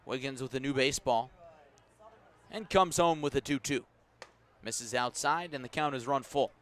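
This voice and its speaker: A man with a mid-pitched voice works as a radio baseball announcer. He describes the game in measured, unhurried phrases and lifts his delivery when the ball is in play. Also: a bit bright. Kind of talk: live radio baseball commentary.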